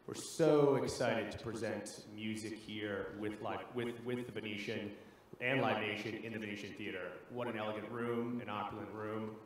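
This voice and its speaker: A man presenting a show on stage, enthusiastic and a little halting. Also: lowish voice, steady tone, a little hiss or hum. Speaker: event presenter. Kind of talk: formal stage announcement. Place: large theater. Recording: echoey theater, faint ambience.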